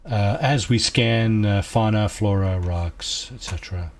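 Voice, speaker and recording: A man with a low, soft voice, quietly talking through a video game at an unhurried pace, musing aloud with many fillers. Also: gravelly, slight background noise. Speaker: gameplay streamer. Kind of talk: casual let's-play commentary.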